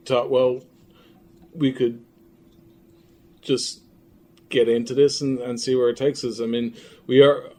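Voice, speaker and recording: A man chatting casually as an interview guest, halting as he thinks aloud. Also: medium pitch, level tone, darkish tone. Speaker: interview guest, audio drama creator. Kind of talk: casual chat. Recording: faint background room noise.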